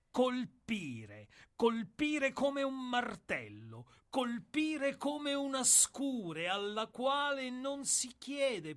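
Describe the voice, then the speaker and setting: An actor in a radio play playing an old, world-weary executioner who speaks in a deliberate, declamatory way with weighted pauses. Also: male voice, high-pitched, a bit bright, exaggerated voice. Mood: grim, declamatory. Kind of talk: dramatic radio play monologue.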